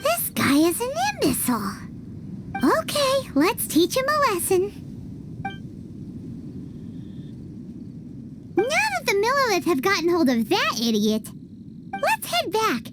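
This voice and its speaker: A woman voicing a tiny, excitable, childlike fairy companion in a video game, squeaky, dramatic and scolding. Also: unhurried, loud music behind the voice, heavily acted. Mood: mocking. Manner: refers to self in third person, dramatic, scolding.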